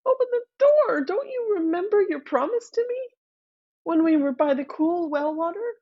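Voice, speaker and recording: Magic talking frog, high and pleading, a woman puts it on; dull lo-fi sound. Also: lively intonation, moderate pace.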